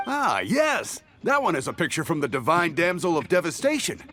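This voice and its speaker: A man's voice: fairly high pitch, wildly swooping pitch, moderate pace, sharp tone, soft background music, heavily acted. A man voicing a cheerful, friendly shopkeeper and fan in a video game, with an enthusiastic manner. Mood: delighted.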